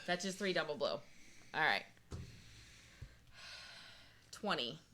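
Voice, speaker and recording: Lively, unhurried woman with background noise. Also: sits low. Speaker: game designer demonstrating a card game. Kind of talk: casual chat during tabletop game play.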